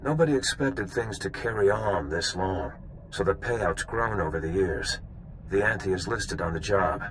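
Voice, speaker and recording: A man's voice: low voice, lively intonation, measured pace, a bit bright, slight background noise, low-quality recording. An actor playing a seasoned bounty hunter in a video game, wry and knowing as he lays out old history.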